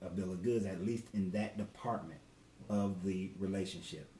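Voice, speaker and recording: A man's voice: sits low, normal speed, mellow. A man in his forties co-hosting a casual live talk with his wife, laid-back and slangy, greeting viewers by name. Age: 40s. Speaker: co-host. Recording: echoey room with faint background noise.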